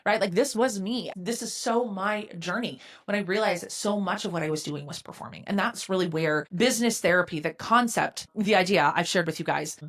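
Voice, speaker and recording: Fast-talking woman in her forties, emphatic. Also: medium pitch, a bit bright. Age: 40s. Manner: emphatic, candid, swears casually, rhetorical "right?". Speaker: podcast host and business mentor. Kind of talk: solo motivational podcast monologue.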